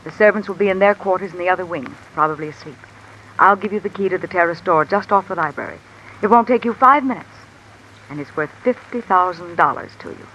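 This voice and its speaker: Actress as a cool, calculating blackmailing wife, sharp-voiced. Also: female voice, lowish voice, quick pace, muffled recording, lightly acted. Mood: commanding, threatening. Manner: insinuating, controlled, coolly commanding. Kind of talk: 1950s American radio mystery acting. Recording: old radio recording with steady rain noise. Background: rain falling.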